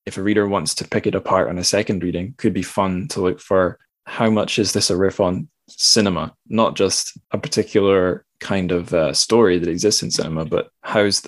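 A man hosting a podcast, casually discussing literature in a rambling, self-deprecating way as he thinks aloud. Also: low voice. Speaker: podcast host.

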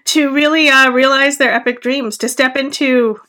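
A middle-aged woman hosts her own podcast in an earnest, reflective solo monologue. Her voice sits a little high, with a bright, sharp edge. She rambles along, full of fillers and restarts. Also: steady tone, normal speed. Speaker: podcast host and business coach.

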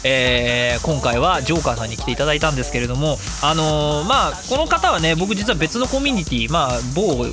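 Fast, lively high-voiced male talk host. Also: over music. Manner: rambling, self-mocking, jumps into bits and catchphrases. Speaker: radio show host. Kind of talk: casual comedic talk radio.

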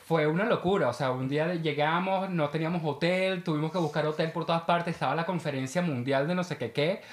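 A Venezuelan woman talks casually in an echo-heavy room. Her storytelling is animated and informal, like an event producer chatting with colleagues. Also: sits low, fairly quick. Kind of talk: casual chat. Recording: echoey room.

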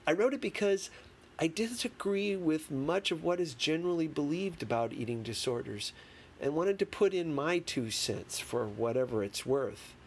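A man reading a memoir as an audiobook, in a deliberate, emphatic manner with dramatic pauses. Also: a little high, animated. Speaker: audiobook reader. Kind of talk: expressive scripted narration of a memoir. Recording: clearly noisy background.

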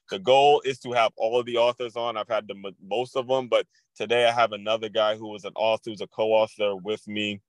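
A man's voice: fairly low pitch, no echo, rough recording. A man from Maryland hosting a podcast, talking casually and with energy, repeating phrases for emphasis. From Maryland, USA. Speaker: podcast host. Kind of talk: casual interview.

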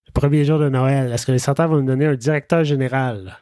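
A man's voice: medium pitch, steady tone, fast, dark tone, dry recording, clean studio sound. A man with a Quebec French accent speaking casually as the host of a sports podcast.

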